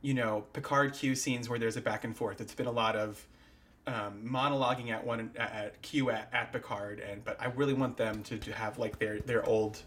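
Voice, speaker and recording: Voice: mid-range voice, fairly even intonation, normal speed, fairly bright tone. A podcast host in casual chat, talking in a rambling, hesitant way with many fillers and steering the talk toward final thoughts.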